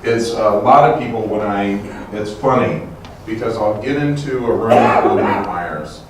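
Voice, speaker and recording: A man with a very low voice speaks casually to a conference audience, with plenty of fillers. He sounds distant from the mic. The room rings with strong echo and noticeable noise. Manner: loose, chatty, with fillers. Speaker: colleague answering audience question. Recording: very echoey, distant, noisy room.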